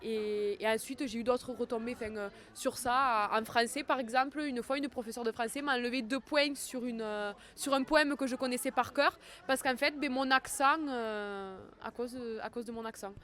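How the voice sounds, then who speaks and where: Young woman interviewee, Ariège accent, a bit high-pitched, halting and full of restarts. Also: a bit bright. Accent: Ariège, southern French. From Ariège, France. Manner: hesitant, many fillers and restarts. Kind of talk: interview answers.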